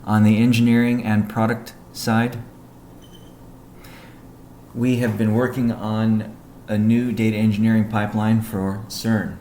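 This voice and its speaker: A man giving a business briefing on a conference call as a company executive, in a measured, halting way with technical jargon. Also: lowish voice, little pitch movement, dark tone. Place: conference call, echoey room. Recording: echoey room, faint background noise.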